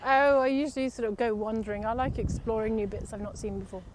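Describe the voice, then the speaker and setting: A woman's voice: on the high side, quick pace. Rambling Englishwoman on a windy walk. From England. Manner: hesitant, rambling, with many "sort of" fillers. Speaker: archaeologist guest. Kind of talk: casual walking interview. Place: outdoors in a windy city park. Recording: outdoor street noise and wind.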